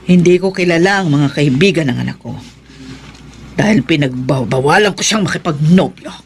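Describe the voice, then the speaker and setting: A woman's voice: deep voice, lively intonation, moderate pace, a little hiss or hum. An actor playing a strict, suspicious older mother in a horror radio drama, speaking sternly and ominously.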